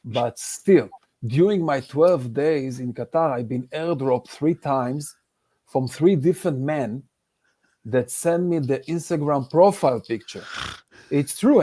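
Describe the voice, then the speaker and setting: A man with a thick Israeli accent delivers a stand-up set over a video call, his voice sitting a little high. He jokes in a deadpan way, stumbling and restarting his sentences as he goes. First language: Hebrew. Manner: deadpan joking with halting restarts. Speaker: stand-up comedian.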